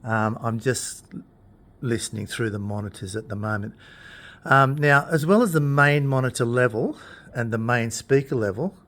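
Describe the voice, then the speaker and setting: A man talking through equipment in an informal tutorial, explaining things in a plain-spoken, unhurried way. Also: medium pitch, a bit bright. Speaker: instructor demonstrating PA equipment.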